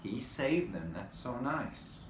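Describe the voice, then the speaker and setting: Slow, expressive man reading to children, echoey. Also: mid-range voice. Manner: expressive, addressing young listeners. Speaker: storybook reader. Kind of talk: children's read-aloud with chatty asides. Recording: echoey room, faint background noise.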